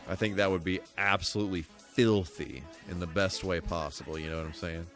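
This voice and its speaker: A man's voice: low voice, steady tone, fairly quick, a bit bright. A man doing casual live commentary as he streams a video game, rambling and thinking aloud as he goes. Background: music behind the voice.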